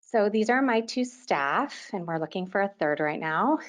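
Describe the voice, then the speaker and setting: A woman in her thirties, from Quebec, Canada, presenting a continuing-education webinar as an orthodontist. She speaks in a clear, organized way and often opens her sentences with "so". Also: medium pitch, lively intonation, moderate pace.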